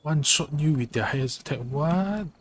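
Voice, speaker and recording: A man giving casual live game commentary as a streamer, halting and often rephrasing himself. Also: on the high side, animated, slight background noise. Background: game music.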